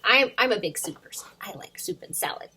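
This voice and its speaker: A woman's voice: mid-range voice, expressive, fairly quick, sharp tone, breathy edge, whispered. A woman hosting storytime for young children, reading aloud expressively with sing-song emphasis and addressing questions to young listeners.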